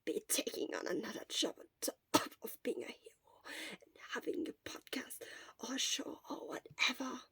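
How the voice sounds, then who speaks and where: A woman voicing a feverish, sullen teenage boy in a weak, hoarse, breathy mutter for an audio story. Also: on the high side, animated, normal speed, sharp tone, no echo, in a whisper, exaggerated voice. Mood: sardonic, weak.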